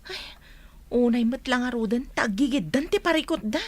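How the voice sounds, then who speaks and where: A woman's voice: fairly high pitch, lively intonation, normal speed. A woman playing a worried, insistent rescuer in a comedy radio drama.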